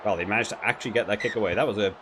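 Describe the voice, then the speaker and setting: A man's voice: lowish voice, a bit bright. Man thinking aloud over gameplay, background noise. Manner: thinking aloud, critical, halting with repeats. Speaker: gaming video creator reviewing while playing. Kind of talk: casual gameplay commentary.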